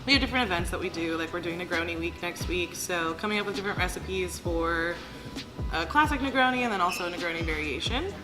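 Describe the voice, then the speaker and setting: Bar manager lady, chatty and eager, lowish voice, music playing behind. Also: fast talker, rough recording. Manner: chatty, eager, many fillers. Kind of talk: casual interview. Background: background music.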